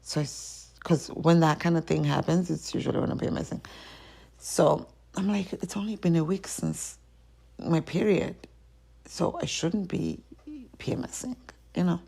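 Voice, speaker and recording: Gravelly, husky woman musing aloud. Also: on the low side, moderate pace, warm tone. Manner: rambling and self-questioning, trailing off into whispers. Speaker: podcast host. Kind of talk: solo confessional chat.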